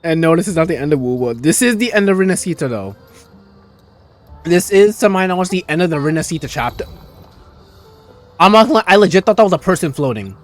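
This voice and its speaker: A man's voice: high-pitched, expressive, fast talker, long pauses. A man streaming a game and giving casual, slangy, gushing commentary peppered with swearing. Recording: game music behind the voice. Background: video game music and dialogue.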